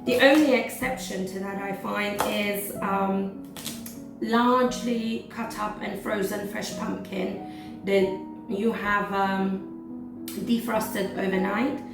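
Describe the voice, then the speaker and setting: A woman running her cooking vlog, a little hoarse, chatting casually with lots of "um" fillers as she demonstrates a recipe at home. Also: medium pitch, fairly even intonation, slow. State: slightly hoarse from losing her voice. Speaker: cooking vlogger. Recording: echoey kitchen, mic at a distance, background music.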